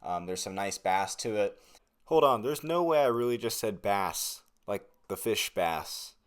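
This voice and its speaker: A man's voice: on the low side, lively intonation, unhurried, clear, resonant tone. A young man giving a casual, conversational product review to camera in a laid-back, slangy way. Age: young adult. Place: small bedroom, indoors.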